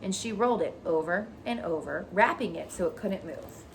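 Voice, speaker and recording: Animated woman reading a children's story. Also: fairly low pitch, moderate pace, background noise. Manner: explanatory, addressing children. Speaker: teacher reading aloud. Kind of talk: expressive children's storybook narration.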